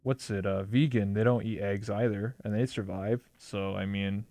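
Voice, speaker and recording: A man hosting a casual interview in a laid-back, halting way, full of ums and you knows. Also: on the low side, steady tone, warm tone, dry recording. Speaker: host.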